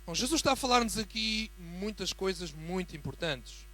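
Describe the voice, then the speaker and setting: A man with a European Portuguese accent preaching as a guest in a church, building from a quiet greeting to fervent emphasis. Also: high-pitched, animated, normal speed. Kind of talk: sermon.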